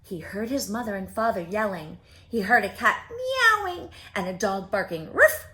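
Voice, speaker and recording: A woman reading a picture book aloud for preschoolers, expressive and speaking directly to her young listeners. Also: mid-range voice, moderate pace. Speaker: children's story reader.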